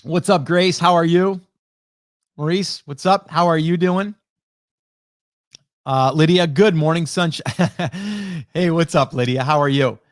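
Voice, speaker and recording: Higher-pitched man chatting casually on livestream. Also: measured pace, pauses often, dry recording, studio quality. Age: late 40s. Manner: conversational, greets viewers by name, rhetorical check-ins. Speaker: host, online marketing coach. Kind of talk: casual live stream chat with viewers. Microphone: close broadcast dynamic microphone.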